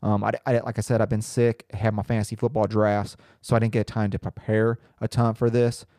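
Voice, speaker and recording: A laid-back young man talks through his solo podcast, loose and profane, with a slightly nasal, congested sound from a lingering cold. His voice sits on the low side and comes across dark and mellow. The room is dead and dry around him. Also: little pitch movement, fairly quick, no echo. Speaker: podcast host. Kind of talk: casual solo podcast talk.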